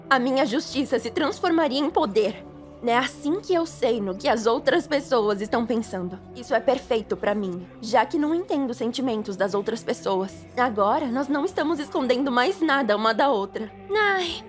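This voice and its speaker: A woman's voice: a little high, natural voice. A Brazilian woman voicing a cheerful, self-righteous schoolgirl with an earnest, menacing streak in an anime-style fan dub. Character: cheerful, self-righteous schoolgirl turned justice devil contractor. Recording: music behind the voice.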